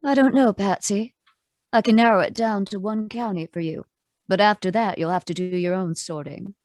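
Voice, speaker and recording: A sardonic femme fatale from an old radio mystery, acted by a woman, mid-pitched and unruffled. She tosses out taunting wisecracks without hurry, heard close and dry with no room around her. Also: fairly even intonation, moderate pace, a little mellow, no room sound, lightly acted. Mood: cool, taunting. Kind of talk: 1940s radio noir acting.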